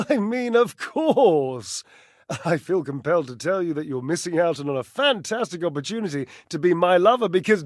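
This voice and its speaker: A man's voice: high voice, no room sound, studio quality, full character voice. An actor playing a vain, catty, theatrical male living clothes dryer in a dating game, flirtatious, haughty and arch. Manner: theatrical, pompous, arch, with mocking chuckles. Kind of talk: dating-game character voice acting.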